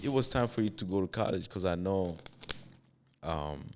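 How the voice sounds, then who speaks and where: A man with a very low, deep voice hosts a casual podcast. He asks questions in a laid-back, chatty manner, laughs often and offers friendly advice. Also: lively intonation, normal speed, darkish tone, slight background noise. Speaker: podcast host.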